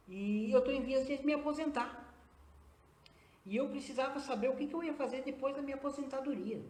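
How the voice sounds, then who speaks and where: A man's voice: high-pitched, a little mellow. Earnest Brazilian man in his fifties, roomy sound. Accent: Brazilian Portuguese. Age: 50s. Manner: earnest, many hesitant "é" fillers. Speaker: guest, nurse and acupuncturist. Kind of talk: live interview answers. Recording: roomy echo.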